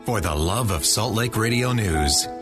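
A man's voice: fairly low pitch, animated, unhurried. An American man announcing a scripted radio programme introduction. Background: loud music.

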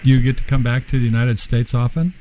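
A man's voice: medium pitch, a little fast, background noise. A male radio host interviewing a guest, talking hesitantly with many fillers.

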